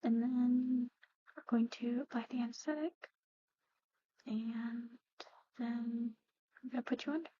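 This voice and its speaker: A woman performing a whispered ASMR medical roleplay, explaining procedures softly in an unhurried, breathy voice on a slightly muffled recording. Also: a little high, fairly even intonation, no room sound. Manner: hushed, unhurried, gently explaining.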